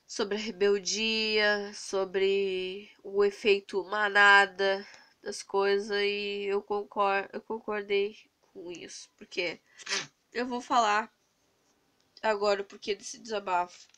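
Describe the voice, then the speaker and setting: Hoarse, stuffy-nosed Brazilian young woman. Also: mid-range voice, level tone, takes it slowly, pushed and bright. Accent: Brazilian Portuguese. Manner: earnest, venting, rambling with restarts. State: congested with a cold, hoarse. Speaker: vlogger. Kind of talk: casual vlog rant. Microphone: phone camera held close to the face.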